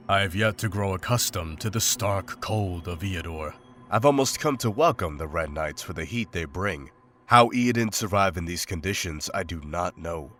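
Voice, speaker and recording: A man's voice: sits low, fairly bright tone. A man playing a stoic, scholarly monster hunter in a visual novel, speaking in a formal, composed, literary way. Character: stoic, scholarly male monster hunter, calm and matter-of-fact. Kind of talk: scripted visual novel drama and journal narration.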